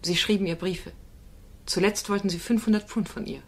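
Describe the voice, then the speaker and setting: A woman's voice: medium pitch, fairly even intonation, normal speed, close to an everyday voice. A woman playing a firm, probing female defence lawyer in a crime radio play, with insistent, pointed questioning. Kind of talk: German radio drama acting.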